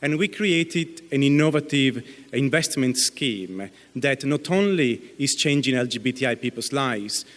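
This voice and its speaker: A man giving a conference talk, speaking deliberately with slow phrasing and occasional fillers. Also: medium pitch. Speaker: conference presenter. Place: conference hall. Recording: reverberant hall.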